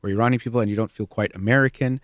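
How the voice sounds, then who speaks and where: A man hosting a conversational discussion, thoughtful and pausing to explain terms for listeners. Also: lowish voice, steady tone, no echo, studio quality.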